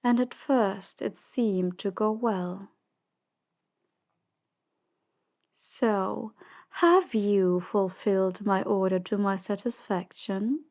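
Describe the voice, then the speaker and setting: A woman playing a cold, ruthless crime boss in an audio drama, commanding and dismissive in a measured way. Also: mid-range voice, darkish tone. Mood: stern, interrogating. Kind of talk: audio drama roleplay acting.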